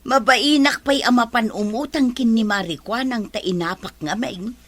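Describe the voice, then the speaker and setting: A woman's voice: a little high, moderate pace, fairly bright tone. A woman playing a troubled, shamefaced village woman in a radio drama. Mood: troubled, ashamed.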